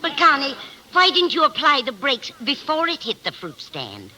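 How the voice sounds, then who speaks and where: A motherly, slightly dotty older landlady in a 1950s radio comedy. Her voice swoops with lively intonation and has a very bright edge. She fusses earnestly over a faintly noisy old recording. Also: sits high, normal speed, full character voice. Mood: concerned, puzzled.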